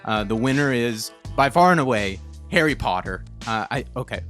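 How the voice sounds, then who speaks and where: A man's voice: mid-range voice, lively intonation, moderate pace, a bit bright, faint music behind. A man hosting a casual podcast, enthusiastic and easily amazed, often repeating and stressing his words as he feels his way through a sentence. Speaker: podcast host.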